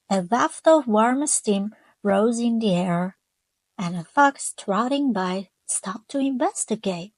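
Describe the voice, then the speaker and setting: A woman reading a children's picture book aloud, gently stopping to ask her young listeners questions. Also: medium pitch, no echo, low-quality recording. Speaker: children's storyteller.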